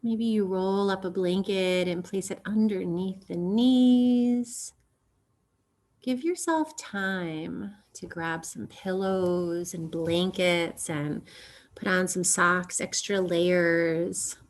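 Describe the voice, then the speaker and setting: A woman guides a relaxation meditation as a yoga nidra teacher. Her pitch sits a little low and her pace is very slow. She speaks gently and soothingly, letting long pauses fall between phrases. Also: steady tone.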